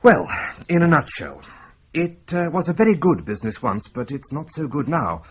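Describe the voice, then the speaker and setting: An actor playing a precise, wry accountant who explains figures step by step in a radio drama. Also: male voice, on the high side, very animated, normal speed, slightly rough. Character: dry precise male accountant. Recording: dull lo-fi audio with faint hiss.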